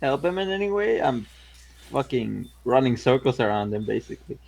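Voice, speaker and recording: Medium-pitched man at a game table. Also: sing-song, normal speed, vocal fry, a little mellow. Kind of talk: casual game table chat.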